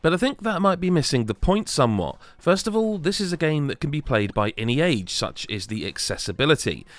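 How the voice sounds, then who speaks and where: A man's voice: a little high, big pitch swings, brisk, dry recording. A man reading a scripted video game review as a voice-over. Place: close, dry voice-over recording.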